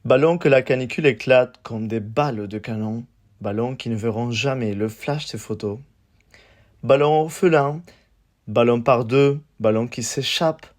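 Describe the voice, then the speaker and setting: A man gives a spoken-word poetry recital at a slow pace. His delivery is measured and declamatory, broken into short phrases with pauses between them. His voice sits at a medium pitch for a man. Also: a little mellow.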